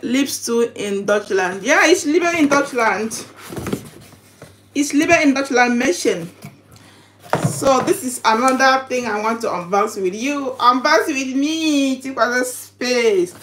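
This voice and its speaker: Woman doing a casual unboxing, really slow n halting, bit high pitched, repeats herself explaining stuff. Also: animated, fairly bright tone, a little hiss or hum. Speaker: vlogger presenting product unboxing. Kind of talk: casual unboxing vlog chat.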